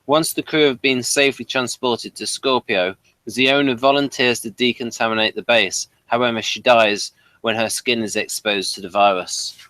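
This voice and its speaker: A man's voice: mid-range voice, fairly even intonation, moderate pace, few pauses, pushed and bright, no room sound. A man hosting a review show, reading out summaries and putting questions. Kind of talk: reading an episode synopsis, then prompting discussion.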